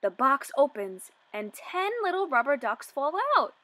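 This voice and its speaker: A young woman reads a children's story aloud in a fairly high voice that swoops up and down expressively. She speaks deliberately, putting questions to her little listeners, and takes her time. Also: sing-song, fairly bright tone, dry recording. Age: 20s. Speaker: children's teacher reading aloud. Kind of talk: picture-book read-aloud for young children.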